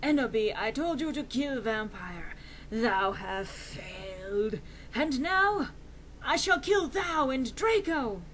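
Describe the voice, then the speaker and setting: A woman voicing an angst-ridden male dark wizard villain, pompous and threatening, in a story reading. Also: fairly high pitch, sing-song, slow, a little hiss or hum, heavily acted, rough recording. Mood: angry menace. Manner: pompous, threatening, mock-archaic.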